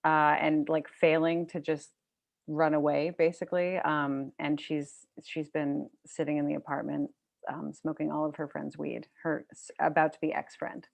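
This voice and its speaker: A woman's voice: deep voice, level tone, normal speed, vocal fry. A woman novelist reading her own literary fiction to an audience at a book event.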